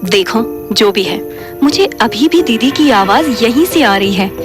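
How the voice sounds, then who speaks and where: A woman's voice: a little high, lively intonation, unhurried. A woman playing a bossy, impatient young woman in an audio drama, mixing in English phrases. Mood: exasperated. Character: bossy, sharp-tongued young woman hunting for her sister. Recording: music bed under voice.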